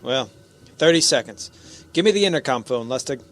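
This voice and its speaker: A man's voice: medium pitch, expressive, moderate pace, a bit bright, faint music behind, close to an everyday voice. Firm, commanding spaceship captain played by a man. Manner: commanding, clipped orders. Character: firm, cautious male spaceship captain commanding a landing party. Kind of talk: science fiction radio drama acting.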